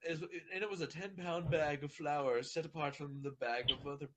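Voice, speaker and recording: A man's voice: fairly high pitch, steady tone, normal speed, slight background noise. A man reading a fan fiction story aloud informally, stumbling over words and sometimes swearing.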